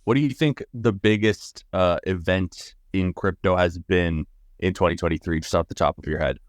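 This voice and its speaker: A man's voice: sits low, normal speed, no echo, studio quality. A man hosting an interview podcast, asking loose, rambling questions and giving his own views. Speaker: podcast host.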